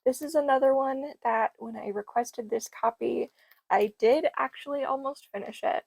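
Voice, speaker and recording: Young woman, slightly hoarse, gravelly, fairly high voice, enthusiastic book vlog, dry close sound. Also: normal speed, darkish tone, no echo. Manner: enthusiastic, gushing. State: slightly hoarse, losing her voice. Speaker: book vlogger. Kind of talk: casual book haul chat.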